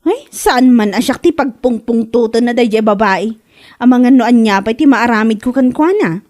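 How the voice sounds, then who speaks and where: A woman's voice: fairly high pitch, moderate pace, a little mellow, lightly acted. A woman playing a hot-tempered widow stung by gossip in an Ilocano comedy drama, theatrical and aggrieved, with an Ilocano accent. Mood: indignant.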